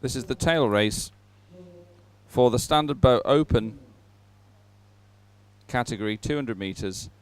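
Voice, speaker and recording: A man presenting a live sports event off the cuff, in a chatty, somewhat halting manner. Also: medium pitch, clean tone.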